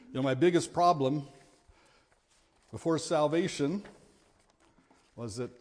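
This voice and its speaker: A middle-aged man with a big voice preaching a sermon, earnest and at times forceful in his exhortations. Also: mid-range voice.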